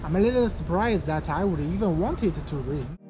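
A young man from China with a Chinese accent, speaking English as a second language in a casual motorcycle gear vlog with plain, practical phrasing and frequent pauses. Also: fairly high pitch, expressive, loud music behind the voice. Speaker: motorcycle vlogger. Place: outdoors riding a motorbike in the rain, then a small room indoors. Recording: dull lo-fi audio with traffic noise.

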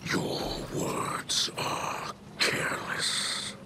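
Solemn alien leader for a video game, breathy gravel, slow and formal, background ambience. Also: male voice, noisy background. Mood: guarded. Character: stern, solemn alien leader, protective older male.

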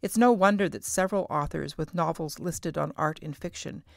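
A middle-aged woman reading scripted podcast narration as host, in a measured, carefully enunciated way. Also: deep voice, creaky, no echo, clean studio sound.

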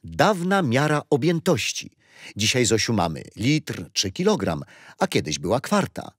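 A man's voice: medium pitch, a little slow, breathy edge, no room sound, clean studio sound. An actor playing a kindly grandfather who patiently tells history to his grandchildren in a children's radio play. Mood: kindly, explaining. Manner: patient, storytelling, expressive.

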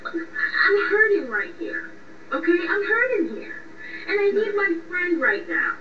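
A woman's voice: very high voice, huge pitch swings, a little slow, full character voice. A woman playing a lovesick, vulnerable young woman in a radio drama, hesitant, defensive and pleading. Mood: defensive, hurt. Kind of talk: radio drama phone conversation. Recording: muffled, echoey, distant, faint noise.

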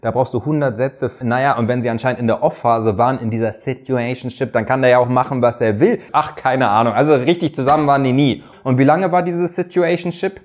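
A man's voice: mid-range voice, steady tone, brisk, few pauses, darkish tone. Chatty man commenting, lapel mic. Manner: chatty, ironic, chuckles while commenting. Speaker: reaction video host. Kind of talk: casual commentary on a reality show. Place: small studio room with neon sign.

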